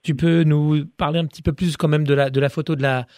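A man's voice: fairly high pitch, level tone, quick pace, darkish tone, no echo. A man working as an interviewer, asking casual questions in an informal, somewhat hesitant way.

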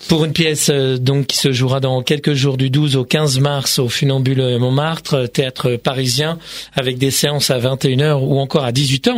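A man's voice: medium pitch, no echo. A man hosting a radio interview in a studio, chatting and hesitating often. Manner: conversational, frequent hesitations. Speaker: radio host.

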